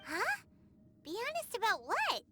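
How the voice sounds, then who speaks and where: A tiny, chirpy fairy sidekick from a video game. The voice is extremely high and childlike, swinging up and down as it exclaims over loud music. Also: female voice, huge pitch swings, measured pace, full character voice. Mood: puzzled. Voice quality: squeaky, childlike. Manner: speaks of self in third person, exclaiming. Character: tiny chirpy childlike fairy companion who refers to herself by name.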